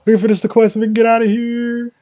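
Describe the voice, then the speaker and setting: A man's voice: very high-pitched, little pitch movement, fast, mellow. A man reacting casually on a game livestream, laid-back and slangy in his delivery. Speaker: game streamer.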